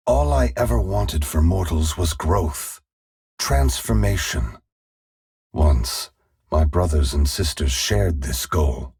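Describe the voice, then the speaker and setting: A man voicing a solemn, sorrowful ancient god in a fantasy video game, speaking in grave, measured, formal lines with a gravelly, husky voice. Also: low voice, expressive, darkish tone, full character voice. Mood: solemn, gentle. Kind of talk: scripted fantasy game dialogue.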